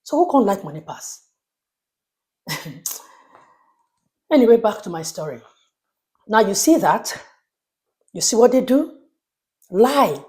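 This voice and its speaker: A woman's voice: fairly high pitch, warm tone. A woman with a Nigerian accent hosting an opinion commentary, emphatic and indignant, full of rhetorical questions. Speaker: commentary show host.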